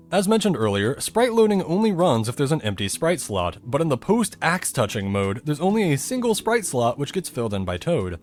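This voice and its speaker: Male video narrator, animated intonation, scripted explainer style, conversational, dry asides, music behind. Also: medium pitch. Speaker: video creator narrating a technical game explainer. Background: music bed under the voice-over.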